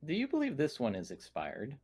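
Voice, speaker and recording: A man's voice: mid-range voice, huge pitch swings, brisk, darkish tone, slightly rough. A man playing an online tabletop game, chatting casually as he works out his character's moves.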